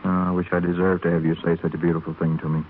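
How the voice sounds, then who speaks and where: An actor playing an earnest, guilt-ridden young gentleman secretly in love, with tender delivery in a 1930s radio drama. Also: sits low, monotone, very fast, lightly acted. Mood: humble, tender. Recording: scratchy, phone-like 1930s radio broadcast recording.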